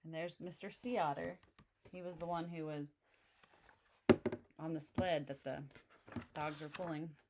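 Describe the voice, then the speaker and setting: A woman reads a children's picture book aloud and often stops to talk through the pictures with young listeners. Her voice is slightly low, and she explains things in a friendly way. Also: steady tone, a little slow, pauses often, heavy background noise.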